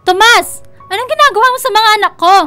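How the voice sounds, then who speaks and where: A woman's voice: extremely high pitch, animated, quick pace, pushed and bright, faint music behind, exaggerated voice. A woman playing an emotional, protective mother in a radio drama, with a dramatic delivery. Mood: furious, shocked. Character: protective, emotional single mother defending her daughters.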